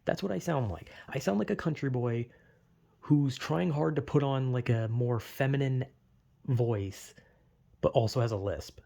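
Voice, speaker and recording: A man's voice: medium pitch, measured pace, gravelly, mellow. A young man vlogging in a rambling, self-deprecating way, full of "like" fillers, with a slight lisp. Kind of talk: casual rambling monologue.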